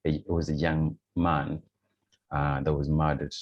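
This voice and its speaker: A man's voice: rumbling low, flat delivery, a little slow, highs cut off. A man born in Uganda, a podcast guest telling a personal story in a reflective, hesitant way with many fillers. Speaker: podcast guest, running coach. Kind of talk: conversational storytelling.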